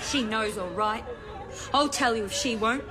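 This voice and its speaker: Woman as taunting teenage schoolgirl, high animated voice, spiteful, radio play, loud clamouring crowd and noise. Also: measured pace, close to an everyday voice. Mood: hysterical, vicious. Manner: taunting, insistent. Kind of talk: dramatised radio novel acting. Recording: very noisy, clamouring crowd in large room.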